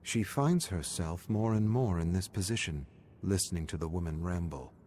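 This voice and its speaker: A male narrator with a low voice reads a scripted horror tale. His delivery is dramatic storytelling, with noticeable ambient noise behind it. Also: normal speed, mellow.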